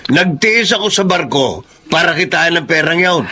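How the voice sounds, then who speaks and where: A man's voice: fairly high pitch, lively intonation, moderate pace, bright, forceful tone. An actor playing a bickering middle-aged father, a retired seaman who grumbles, wheedles for cash and snaps back sarcastically. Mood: indignant. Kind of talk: radio drama acting.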